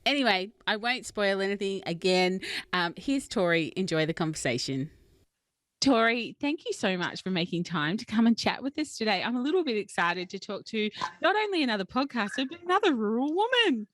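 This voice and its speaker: A woman's voice: medium pitch, very animated, fairly quick, a bit bright, dry recording. A woman hosting a casual podcast interview, enthusiastic and chatty. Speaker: podcast host.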